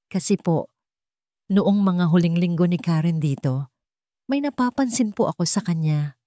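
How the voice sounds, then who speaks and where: A woman's voice: fairly low pitch, animated, normal speed, dark tone, no room sound, studio quality, close to an everyday voice. A woman voicing a timid, loyal housemaid in an audio drama, deferential and hesitant, with a trembling delivery. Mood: timid, apologetic.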